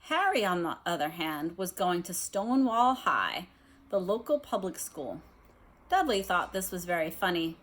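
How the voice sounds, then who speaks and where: A woman speaking as a teacher doing a read-aloud of a children's novel, explaining things in a careful, measured way. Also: fairly low pitch, very animated, fairly bright tone. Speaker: teacher reading aloud to students.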